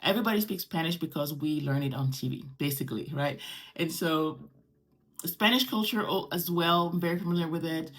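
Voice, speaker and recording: A middle-aged woman who grew up in Morocco speaks in a low-pitched voice. French is her native language. She hosts in a casual, conversational vlog style, building her points with repeated phrases. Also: fairly quick. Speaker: host.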